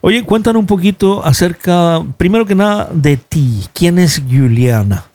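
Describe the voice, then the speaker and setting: Man, slightly high voice, radio host, familiar manner, conversational questioning, studio interview. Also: lively intonation, unhurried, mellow.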